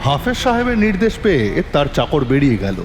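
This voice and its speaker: A police detective , played by a man, recounts his investigation in an audio drama. His voice is fairly high and very expressive, shifting between storytelling and polite probing questions. It rings with long reverb over faint music. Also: a little fast, a little hiss or hum. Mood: suspenseful. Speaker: narrator and lead actor of an audio story.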